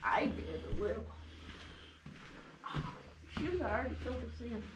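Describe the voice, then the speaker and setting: A woman with a high voice talks casually as she explores a cave, making offhand comments along the way. She is recorded on a camera with clear background noise behind her. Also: very animated, normal speed. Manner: offhand, remarks on what she finds. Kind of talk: casual chat while exploring. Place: inside a lava tube cave.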